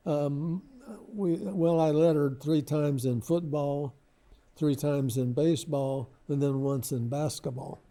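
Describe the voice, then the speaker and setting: An older man, reminiscing casually as an interview guest in a halting, modest, rambling way. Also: on the high side, steady tone, mellow. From Lebanon, Ohio, United States.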